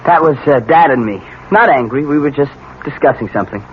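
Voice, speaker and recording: A man's voice: medium pitch, animated, fairly quick, natural voice. An actor playing a guilty, evasive, defensive young man, a son, in a vintage radio drama. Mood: nervous, evasive. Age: young adult. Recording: dull old radio broadcast with faint noise.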